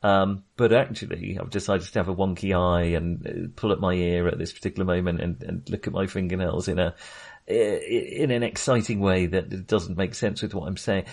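A man co-hosting a casual film discussion, speaking in a halting, self-correcting way. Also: deep voice, steady tone, a little mellow. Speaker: podcast co-host.

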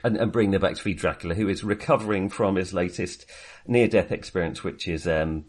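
Low-pitched man, halting film-podcast chat. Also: husky. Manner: hesitant, halting delivery that circles back on phrases. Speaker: podcast co-host reviewing films. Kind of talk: casual film discussion.

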